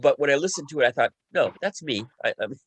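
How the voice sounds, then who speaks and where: A man's voice: medium pitch, lively intonation, fast, fairly bright tone, slightly rough, no room sound. A man from the United States with a light tenor voice, chatting casually in a panel discussion about acting. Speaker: voice actor in the radio play.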